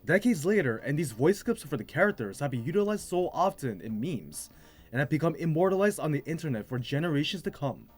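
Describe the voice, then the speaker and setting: A man's voice: on the high side, very animated, fairly quick, husky. A man delivering scripted narration as the host of a video game commentary. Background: music.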